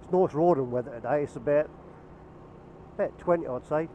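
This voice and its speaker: A man's voice: a little high, fairly quick, mellow. A man, chatting casually and dryly about the roads as he rides a motorbike. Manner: chatty, dry, self-mocking asides. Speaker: motovlogger. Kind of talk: casual running commentary while riding. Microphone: helmet camera mic. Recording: muffled helmet-cam audio with road noise.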